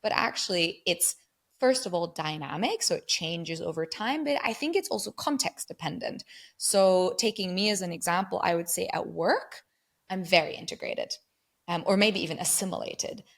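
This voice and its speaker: A woman's voice: fairly low pitch, moderate pace, creaky. A woman from England and Germany, a guest researcher, explaining ideas step by step in a thoughtful conversational way. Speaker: guest researcher on migrant mental health.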